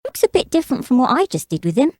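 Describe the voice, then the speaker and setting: A woman playing an innocent, trusting twenty-year-old bride in an audio drama, with a soft, sweet manner. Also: high voice, big pitch swings, quick pace. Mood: curious, sweet. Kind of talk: audio drama character acting.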